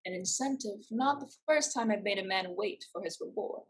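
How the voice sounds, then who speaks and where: A woman's voice: medium pitch, normal speed, natural voice. A woman playing a proud, determined young woman, firm and earnest in a theatrical staged reading. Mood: firm, bargaining. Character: proud, determined young woman pirate-in-training, devoted to family.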